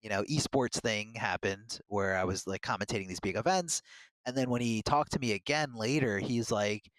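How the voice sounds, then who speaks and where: A man's voice: lowish voice, moderate pace, a bit bright, no room sound, rough recording. A man who works as a game commentator, telling candid stories as a podcast guest. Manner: earnest, candid storytelling. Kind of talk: casual podcast conversation.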